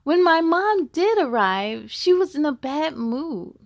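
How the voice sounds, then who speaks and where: A woman hosting a children's storytime, reading aloud in a cheerful, encouraging and expressive way. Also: high-pitched, takes it slowly, no echo, studio quality. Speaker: host of a children's read-aloud show.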